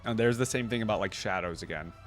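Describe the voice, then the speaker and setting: Very low-voiced woman reacting casually. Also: fast talker, faint music behind. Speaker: reaction video host.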